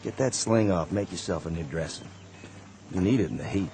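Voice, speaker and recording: A man playing a tired, kindly Confederate sergeant, speaking gently and plainly in a radio drama. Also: low-pitched, fairly quick, slight background noise. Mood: weary, kindly. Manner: gentle, fatherly, plain-spoken. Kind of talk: 1960s-style radio drama acting.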